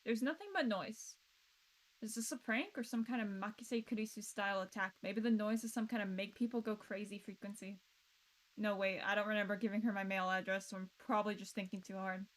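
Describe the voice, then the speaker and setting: A woman streamer reading a visual novel aloud and adding casual, playful, expressive commentary. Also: medium pitch, a little fast, poor audio. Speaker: game streamer.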